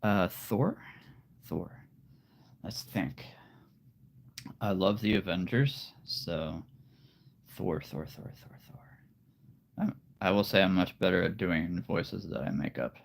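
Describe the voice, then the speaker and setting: A man with a very deep voice chatting casually on a live stream, relaxed and rambling. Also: slow pace, gravelly, pauses often. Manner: relaxed, rambling, laughs often. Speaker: live streamer and hobby voice actor.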